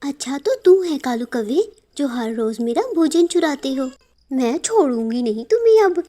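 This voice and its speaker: A woman's voice: high voice, animated, normal speed, noisy background, voice pushed up. A woman voicing a caring but firm mother sparrow in a cartoon story. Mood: angry.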